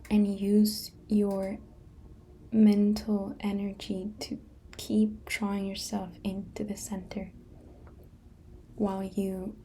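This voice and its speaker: A woman speaking in a soft unvoiced whisper, gently guiding a meditation and sharing a personal story. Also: mid-range voice, completely flat delivery, slow pace, pauses often, a little hiss or hum.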